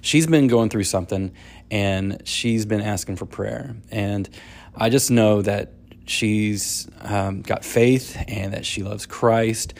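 A man's voice: fairly low pitch, slow pace, creaky, slight background noise. A man hosting a faith talk, encouraging his guest and leading a prayer. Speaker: host.

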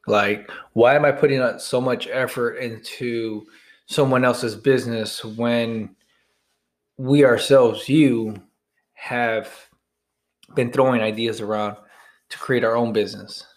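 A man's voice: medium pitch, fairly even intonation, takes it slowly. A man co-hosting a podcast in casual chat, rambling and frank, swearing freely as he thinks aloud. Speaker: podcast co-host.

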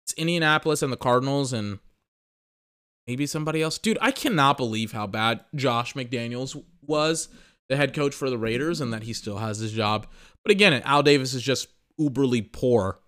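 A man's voice: medium pitch, normal speed, a bit bright. A young man hosting a sports podcast, rambling and emphatic in casual commentary, restarting phrases and swearing, a little tired.

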